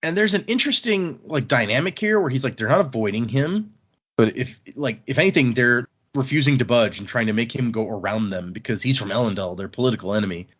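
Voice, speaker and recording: A man's voice: mid-range voice, expressive, fast, fairly bright tone, husky, dull audio. A man hosting a casual book podcast, recapping the story conversationally and loosely voicing characters' lines, with some swearing. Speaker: podcast host recapping a novel.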